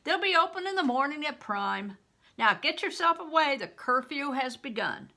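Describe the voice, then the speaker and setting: An older woman voicing gruff, commanding male soldiers calling out curfew orders in a storybook reading. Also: high voice, lively intonation, moderate pace, voice pushed up, heavily acted. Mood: brusque. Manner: loud, commanding calls.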